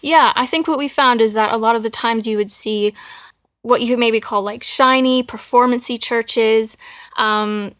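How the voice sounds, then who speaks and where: A young Canadian woman talks with a fairly high voice, casual and relaxed. She fills her sentences with ums, likes and yeahs as she tells her story on a podcast. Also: moderate pace. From Manitoba, Canada. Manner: easygoing, lots of ums and likes. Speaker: podcast co-host. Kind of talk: casual faith podcast chat.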